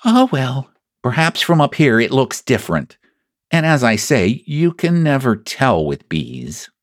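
A man's voice: medium pitch, huge pitch swings, a little slow, no echo, clean studio sound, voice pushed up. A man voicing a small, earnest toy bear from a children's storybook, ponderous and solemn as he schemes. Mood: hopeful, anxious.

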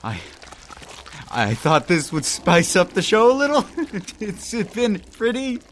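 A man playing a nervous radio co-host who tries to smooth things over in an audio drama. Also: high-pitched, huge pitch swings, takes it slowly, a bit bright, a little hiss or hum, full character voice. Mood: placating. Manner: nervous, placating. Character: nervous male radio co-host, pushy prankster.

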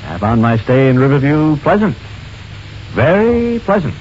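A courteous, guarded art dealer, slightly hesitant, with lively swings in pitch. The old radio drama recording is muffled with light hiss. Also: male voice, normal speed. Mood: guarded politeness. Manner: polite, hesitant, smooth-mannered. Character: polite, guarded art dealer hiding his guilt. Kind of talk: 1940s radio acting.

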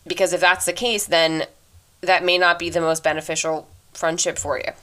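A woman in her twenties hosting a solo podcast, talking earnestly and reflectively into her microphone, fast by habit, with a deep voice. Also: level tone, pushed and bright. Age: 20s. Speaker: podcast host. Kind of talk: casual solo advice talk.

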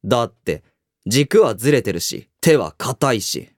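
A woman playing a reserved, blunt high school boy in a radio drama, speaking both curt dialogue and introspective narration. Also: sing-song, a little slow, darkish tone, dry recording, studio quality, heavily acted. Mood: deadpan, blunt. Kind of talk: radio drama acting and first-person narration.

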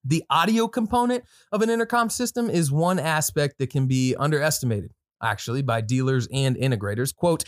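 A man's voice: fairly high pitch, animated, brisk, hardly any pauses, no echo, clean studio sound. A man hosting a podcast, giving casual product commentary with informal, slangy asides and some reading from notes. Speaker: podcast host.

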